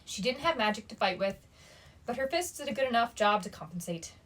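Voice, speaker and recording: A woman reading a young adult fantasy novel aloud as a storyteller, with expressive delivery and emphatic asides. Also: fairly high pitch, a little fast. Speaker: bookshop story reader.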